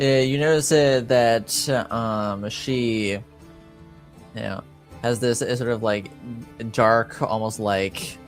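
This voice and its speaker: A man runs a tabletop roleplaying game as game master, improvising descriptions at a slow pace. His mid-pitched voice halts and feels around for the next word, with music playing behind him. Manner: halting, searching for words.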